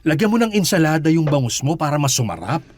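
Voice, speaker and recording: A chatty, curious middle-aged Filipino father in a radio drama. His voice sits fairly high and leaps around wildly. He teases, stumbles and repeats himself. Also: slight background noise. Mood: jovial, curious. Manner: halting, repetitive, animated teasing. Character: curious, chatty, playful father meeting son's girlfriend.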